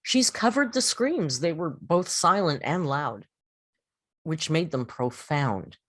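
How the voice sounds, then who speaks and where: A woman giving a dramatic poetry reading and commentary, with a theatrical, deliberate, grandiose delivery. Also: deep voice, expressive, dry recording, clean studio sound. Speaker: host reading and critiquing a poem. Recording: music under the voice. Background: background music.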